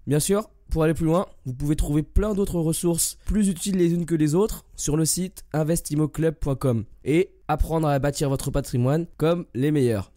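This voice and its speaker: A hoarse man hosting a podcast, who reads a welcoming scripted intro and then interviews a guest. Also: on the high side, normal speed, hardly any pauses, dry recording, clean studio sound. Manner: welcoming, steady scripted delivery. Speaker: podcast host.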